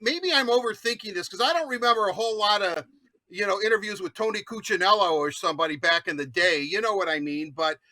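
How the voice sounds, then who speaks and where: A man's voice: high-pitched, fast, pushed and bright, no echo. An American man co-hosting a sports talk show, chatting casually with rambling asides. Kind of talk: casual chat, sports interviewing.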